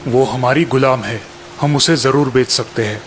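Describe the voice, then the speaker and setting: A man playing a sultan's pragmatic, hard-hearted uncle and adviser in an animated fairy tale, assured and persuasive. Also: medium pitch, normal speed, soft background music, close to an everyday voice. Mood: stern. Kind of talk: Hindi children's animated fairy tale acting.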